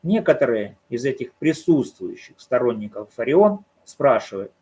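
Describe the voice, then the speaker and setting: A man with a husky, slightly breathy voice pitched in an ordinary middle range. He talks alone to the camera, arguing his case in a measured, deliberate way. He keeps asking rhetorical questions and then answering them himself. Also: sing-song, warm tone. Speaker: commentator. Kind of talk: solo commentary on a court case.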